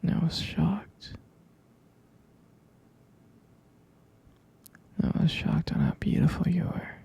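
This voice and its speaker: A man playing a gentle, sleepy half-human vampire boyfriend in an ASMR roleplay, murmuring hesitant, tender reassurance close to the mic in a soft, breathy whisper. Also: on the high side, fairly even intonation, normal speed, heavily acted.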